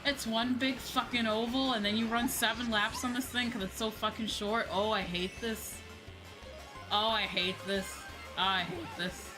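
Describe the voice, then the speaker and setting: A woman chatting casually as a livestream host while gaming, expressive and free with swearing. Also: a little high, normal speed, rough recording. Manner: expressive, swears freely, mock-grumbling asides. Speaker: livestream host, virtual streamer. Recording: game music behind the voice. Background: video game music.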